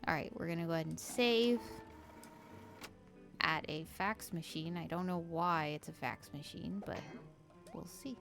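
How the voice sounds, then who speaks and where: A woman streaming a game, with a low, slightly raspy voice and a flat, deadpan delivery. She talks slowly, and faint music plays behind. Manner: monotone, dry, joking. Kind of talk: casual let's-play commentary.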